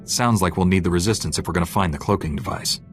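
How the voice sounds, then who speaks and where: A determined male Jedi knight of a video game, played by an actor, speaking with firm, steady resolve in a very deep voice. Music swells clearly behind him. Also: fast talker, natural voice. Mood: resolute.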